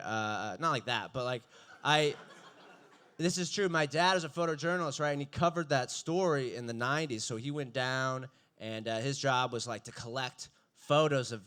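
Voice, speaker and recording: A young man doing a stand-up comedy set, with a dry, deadpan delivery that breaks into laughs. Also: mid-range voice, clear, resonant tone.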